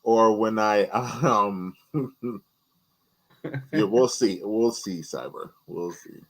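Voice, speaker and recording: A man from New York, a guest on a podcast in casual chat, animated and often repeating words as he talks. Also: fairly low pitch, slow, low-quality recording.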